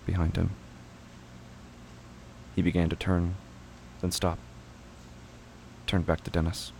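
A man narrating a scripted horror audio drama in short, deliberate, clipped fragments. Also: low-pitched, completely flat delivery, creaky, a little mellow, a little hiss or hum, low-quality recording.

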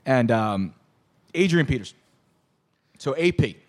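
A hoarse man hosting a casual sports talk show, excitable and rambling with lots of restarts. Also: mid-range voice, a little slow. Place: bar venue.

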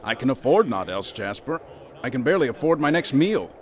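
An actor playing a gloomy, haughty young writer with ornate speech in a radio drama. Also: male voice, medium pitch, sing-song, fairly quick, natural voice. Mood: sour. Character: grumpy, pompous young male writer. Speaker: struggling author.

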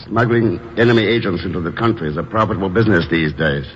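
An actor playing a calm, dry, unflappable secret agent hero in a 1950s radio drama. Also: male voice, low voice, fast, slight background noise, natural voice. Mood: calm explaining. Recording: dull, echoing vintage radio recording.

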